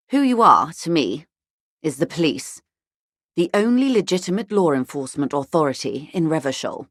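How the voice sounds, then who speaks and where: A woman playing a worldly, middle-aged upper-class corporate negotiator in a video game, speaking in a measured, polite, articulate and formal way. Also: lowish voice, no room sound, clean studio sound. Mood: earnest, measured. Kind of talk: video game character dialogue.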